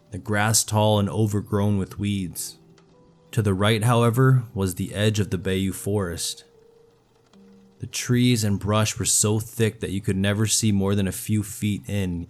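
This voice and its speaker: A man's voice: on the low side, darkish tone. A man reading a scripted first-person horror story as its narrator.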